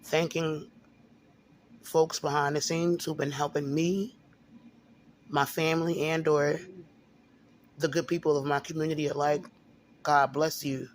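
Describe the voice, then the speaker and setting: A man with a slightly raised pitch talks straight to camera in a casual monologue. His throat is a little sore, and he speaks haltingly, restarting phrases and filling pauses with "um.". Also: flat delivery, a little hiss or hum. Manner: halting, repeats words, many fillers. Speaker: video blogger.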